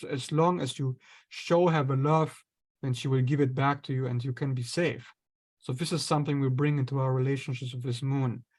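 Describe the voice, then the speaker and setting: A man, an astrologer guest, explaining ideas in a relaxed conversational way, sometimes acting out inner voices. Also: medium pitch. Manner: explains with acted-out inner voices and light laughs.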